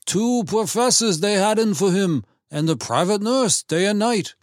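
A man's voice: high-pitched, expressive, unhurried, no echo, clean studio sound, voice pushed up. A man voicing a grumbling, complacent old retired husband with a Yiddish-inflected American accent and a dismissive, roundabout way of talking, in a story reading. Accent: Yiddish-inflected American English.

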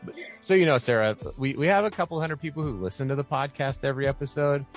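A man hosting a podcast interview, chatty and quick to laugh, often restarting his phrases. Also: mid-range voice, faint music behind. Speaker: podcast host. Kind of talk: casual interview.

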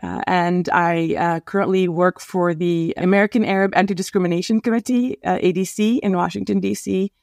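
A middle-aged woman from Palestine, a guest activist answering interview questions in an earnest, measured way with frequent fillers and restarts. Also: on the low side, fairly even intonation, gravelly, darkish tone, no room sound, studio quality.

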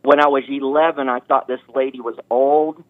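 A man's voice: medium pitch, moderate pace, no echo. Man in his fifties on a phone line. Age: 50s. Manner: folksy, animated storyteller acting out quoted voices. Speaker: caller. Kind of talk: casual storytelling on a call-in show.

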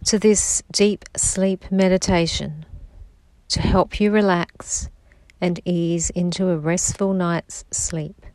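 A woman guiding a sleep meditation in a slow, soft-spoken, soothing manner. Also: on the low side, steady tone, dry recording, rough recording. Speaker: meditation guide.

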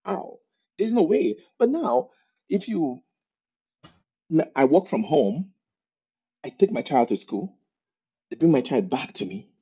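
A man with a Nigerian accent speaks as a podcast guest in a slightly raised, husky voice. He tells his stories with animation and keeps breaking into laughter partway through sentences. The recording sounds dull and lo-fi. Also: fairly high pitch, slow, long pauses. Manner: animated storyteller, often laughing mid-sentence. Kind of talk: casual interview conversation.

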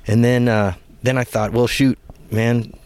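A man in his thirties from the Pacific Northwest, a former Marine, telling stories in an easygoing, soft-spoken way. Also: medium pitch, flat delivery, measured pace, clean tone, dry recording. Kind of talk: casual interview chat. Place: front seat of a parked van, door open, rain.

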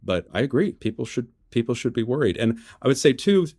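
An American man giving political commentary as an interview guest, measured and halting as he restarts phrases to qualify his points. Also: mid-range voice, big pitch swings, a little mellow, no echo, clean studio sound. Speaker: interview guest, journalist and author.

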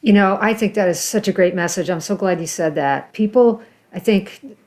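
A woman's voice: medium pitch, moderate pace, a little mellow. A woman hosting a conversational interview. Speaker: interviewer, host.

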